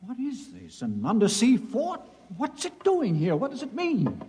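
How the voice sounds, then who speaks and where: An actor playing an anxious, excitable doctor on an undersea mission, questioning everything in 1950s radio drama style. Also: male voice, extremely high pitch, unhurried, full character voice. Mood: bewildered, fearful. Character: anxious doctor, scientist crewman on undersea bomb hunt. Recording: very noisy old radio recording.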